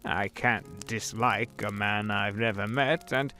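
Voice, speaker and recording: An actor playing an ancient, suave devil posing as a gentleman, genteel and condescending in an audio drama. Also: on the low side, steady tone, takes it slowly, faint music behind. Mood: genial. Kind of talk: fan audio drama acting.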